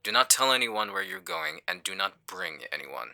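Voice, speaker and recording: A man playing a calculating, menacing villain in an audio roleplay, with a smug, condescending, deliberate delivery. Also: medium pitch, wildly swooping pitch, creaky, sharp tone, heavily acted. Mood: calm menace. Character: smooth-talking male villain, calculating, menacing leader. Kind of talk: audio roleplay acting on a phone call.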